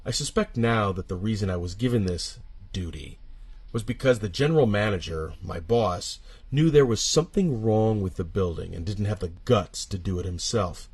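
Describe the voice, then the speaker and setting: A man with a husky voice narrating a first-person horror story, dramatic and suspenseful, with deliberate pauses. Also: lowish voice, a little mellow.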